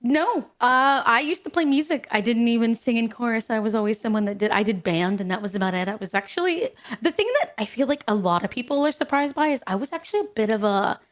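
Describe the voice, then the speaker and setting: A woman's voice: a little high, fast, few pauses. A young woman being interviewed as a streamer guest, talking candidly and chattily with many "like"s. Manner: candid, chatty, uses "like" often. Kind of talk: casual interview.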